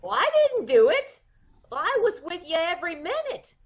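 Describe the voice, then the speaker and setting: A woman's voice: extremely high pitch, very animated, moderate pace. A woman playing a slangy, melodramatic young chorus girl in an old-time radio comedy mystery. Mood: defensive, flippant. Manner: slangy, theatrical, wailing protests.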